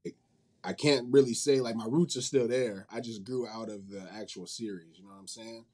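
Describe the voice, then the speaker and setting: A man's voice: mid-range voice, fairly even intonation, fairly quick, a little mellow. A man being interviewed in a casual, informal and rambling way, often correcting himself as he goes. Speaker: interview guest, manga company head and creator.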